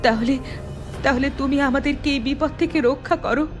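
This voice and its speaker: A woman playing an anxious, frightened young woman in an animated horror story, voice high and alarmed. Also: normal speed, over music, close to an everyday voice. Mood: terrified.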